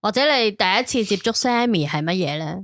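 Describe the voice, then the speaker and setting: A woman's voice: medium pitch, lively intonation, fairly quick, fairly bright tone, dry recording, studio quality. Hong Kong Cantonese woman steering the chat. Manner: asks questions, steers the talk. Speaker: podcast co-host. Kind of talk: casual chat.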